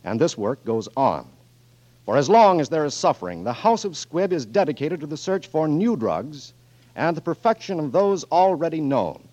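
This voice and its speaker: A man's voice: a little high, very animated, normal speed, warm tone. A male radio announcer reading sponsor copy and closing credits in a formal, polished manner. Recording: dull old radio broadcast with music.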